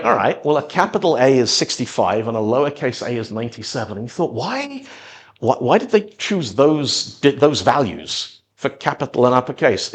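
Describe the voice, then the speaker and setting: A middle-aged man from Zimbabwe and the UK giving a conversational tech talk, telling it like a story with emphatic pauses. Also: medium pitch. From born or raised in Zimbabwe, moved to the UK at ten. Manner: animated, acts out imagined reactions with emphatic pauses. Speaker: conference speaker.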